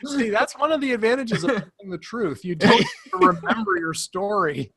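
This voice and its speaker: A man co-hosting a podcast in casual chat, relaxed and joking, with lots of fillers. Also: high-pitched, moderate pace, crowd noise. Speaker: podcast co-host.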